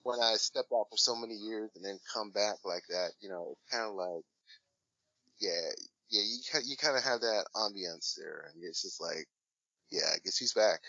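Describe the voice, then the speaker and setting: Low-voiced man with a bright, forceful edge. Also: moderate pace, no echo, dull audio. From Washington State, USA. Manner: rambling, hesitant with many fillers. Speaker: guest, hip hop artist. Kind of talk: casual interview talk.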